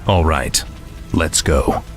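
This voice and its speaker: A man's voice: rumbling low, slow, soft background music. An actor playing a bold, blunt male warrior in a fantasy game. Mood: resolute. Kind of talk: fantasy game cutscene acting.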